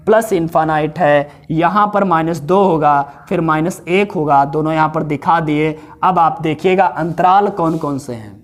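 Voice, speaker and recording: A man teaching a math lesson with a hoarse, sore throat. He works through each step, repeats values, and puts questions to his students. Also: a little high.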